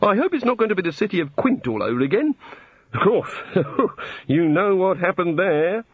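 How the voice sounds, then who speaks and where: A man performs a fussy old god in a comic storybook, eager and apologetic yet easily vexed. The voice sits high and swoops about as it dithers through flustered rambles, on a slightly muffled recording. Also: sing-song, moderate pace, breathy edge, no echo, heavily acted. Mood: wretched, rambling. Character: fretful, apologetic, testy bearded old god, eagerly curious.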